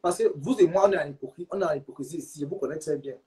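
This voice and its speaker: A man with a notably high voice hosts a live stream and drives the talk. He hammers the same points again and again and keeps pushing viewers to share. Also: rapid-fire, warm tone. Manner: insistent, repeats points, urges viewers to share. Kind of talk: heated live stream chat.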